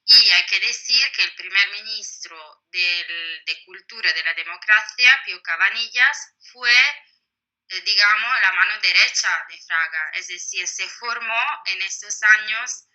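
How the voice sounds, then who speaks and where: A woman's voice: mid-range voice, measured pace, bright, forceful tone, rough recording. A woman speaking with an Italian accent, a native Italian speaker answering interview questions as an author, thoughtful and full of hesitations. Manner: thoughtful, many hesitations and fillers.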